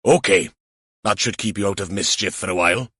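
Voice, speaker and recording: A man's voice: low voice, brisk, a bit bright, slightly rough, no room sound, clean studio sound. Man playing a droll older police sergeant. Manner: droll, mock-solemn, wordy.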